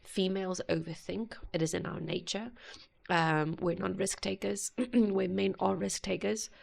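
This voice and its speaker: A woman hosting a music reaction video, talking earnestly and haltingly with many pauses. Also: medium pitch, steady tone, gravelly. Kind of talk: casual reaction commentary.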